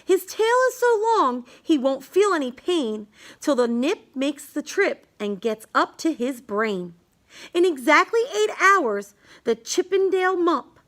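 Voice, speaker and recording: A woman's voice: high-pitched, fairly bright tone. A woman reading a rhyming children's book aloud in an emphatic, sing-song storytelling manner.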